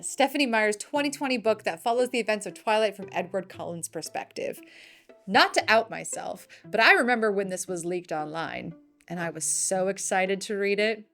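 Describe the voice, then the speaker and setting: Woman, high voice, news show host, scripted narration, playful fan-style delivery, light music bed. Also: a bit bright. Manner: chatty, playful fan commentary. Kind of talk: scripted news narration with casual, opinionated asides. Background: background music.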